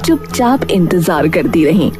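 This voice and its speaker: A woman's voice: lowish voice, sing-song, measured pace. A woman narrating a cartoon moral tale as a storyteller, in a scripted style. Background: music.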